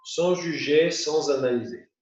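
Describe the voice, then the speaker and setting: Slow-speaking man guiding meditation, roomy sound. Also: fairly high pitch. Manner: unhurried, pausing between short phrases. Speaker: yoga nidra teacher. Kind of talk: guided meditation, spoken instructions. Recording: muffled, roomy, distant from the mic.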